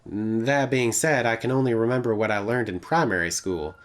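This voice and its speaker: A man's voice: mid-range voice, normal speed, close to an everyday voice. A man voicing a brash, reckless woman who drives a taxi in a visual novel, offhand and slangy. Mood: matter-of-fact. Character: brash, reckless, thrill-seeking female Australian taxi driver. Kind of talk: character voice in a visual novel read-aloud.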